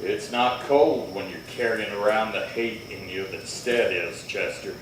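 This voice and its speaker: A steady radio-Western marshal played by a man speaks with measured gravity. He sounds far from the mic in a strongly echoing hall, reasoning firmly and persuasively. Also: fairly low pitch, lightly acted. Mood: stern, watchful. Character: steady, grave Old West lawman marshal. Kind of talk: Western radio drama acting. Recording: echoey hall, distant mic, faint noise.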